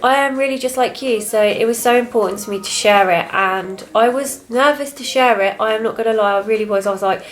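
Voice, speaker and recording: A young woman with a British accent and a soft, quiet voice, chatting candidly and a little reservedly as a fitness vlogger. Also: on the high side, brisk, hardly any pauses, faint music behind. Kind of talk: casual confessional chat to camera.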